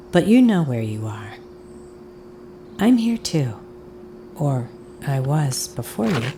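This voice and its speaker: An actress playing a haunted audio tour guide in a fiction monologue, speaking deliberately and haltingly, with insistent repetition. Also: female voice, sits low, dark tone, a little hiss or hum, heavily acted. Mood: hushed, eerie. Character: eerie, haunted woman guiding an audio tour. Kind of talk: dramatic second-person audio fiction monologue.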